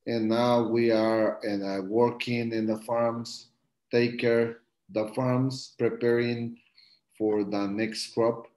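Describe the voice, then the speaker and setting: A man from Guatemala, a coffee farmer, answering interview questions over a video call in halting English with many fillers. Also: medium pitch, level tone, a little mellow, echoey room, low-quality recording. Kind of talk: live-stream interview answers.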